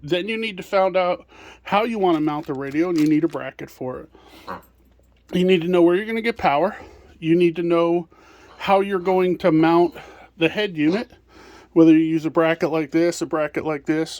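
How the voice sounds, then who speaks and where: Casting: male product presenter, notably high-pitched, informal hands-on walkthrough delivery. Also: normal speed, a little mellow, no room sound. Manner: hands-on explaining while handling items.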